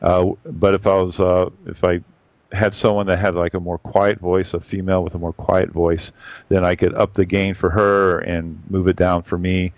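A man with a boomy voice giving a rambling, casual camera review full of fillers and restarts. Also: flat delivery, moderate pace, dry recording. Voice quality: boomy on a mic. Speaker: photography podcast regular reviewing cameras.

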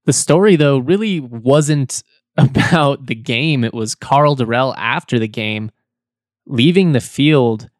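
A man with a slightly hoarse voice hosting a casual sports podcast, talking loosely and repeating words as he goes. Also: medium pitch, slow, no echo, clean studio sound. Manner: chatty, repeats words, laughs between points.

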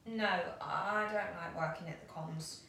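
A woman's voice: fairly low pitch, unhurried, gravelly, a bit bright. A woman playing a weary, self-reproaching young council worker in an audio play, exhausted and complaining. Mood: dissatisfied. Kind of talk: naturalistic audio play acting. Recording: echoey room, distant mic, faint noise.

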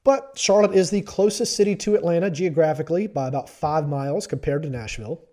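A man's voice: on the high side, a little fast, mellow. A man hosting a sports podcast, sounding a little stuffy from allergies, talking in a wry, self-deprecating way. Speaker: podcast host and sports reporter. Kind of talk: podcast commentary.

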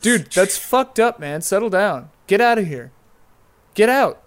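A man's voice: high voice, sing-song, a little slow. A man playing a bearded slacker ski bum who taunts in bro talk during an improvised roleplay. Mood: mocking.

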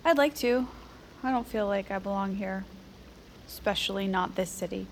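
An actress playing a blunt, quirky old confidante in an audio drama, deadpan with rambling odd humor. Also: female voice, medium pitch, moderate pace, a little hiss or hum, natural voice. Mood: frank, regretful. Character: dry, quirky, frank old confidante of a First Lady. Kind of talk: audio fiction drama dialogue.

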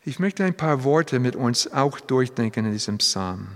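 A man preaching a sermon in German, which is not his first language; he speaks haltingly and drops in English phrases. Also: mid-range voice, animated. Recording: long hall reverb.

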